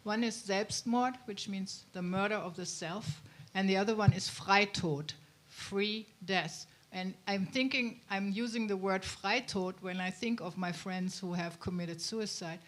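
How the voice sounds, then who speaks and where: A woman's voice: medium pitch, unhurried, hardly any pauses. Earnest woman. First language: German. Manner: earnest, reflective. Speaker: audience member. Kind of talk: audience remark.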